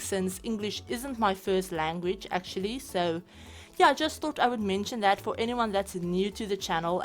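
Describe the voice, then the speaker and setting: A woman with a South African accent, chatting casually over her gameplay as a channel host, rambling with lots of fillers. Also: medium pitch. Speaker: game building channel host.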